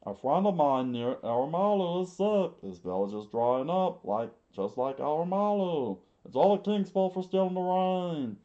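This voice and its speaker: A man voicing a fiery young boy who wants to fight, shrill and defiant, on a dull lo-fi recording. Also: animated, normal speed, hardly any pauses, warm tone, lightly acted.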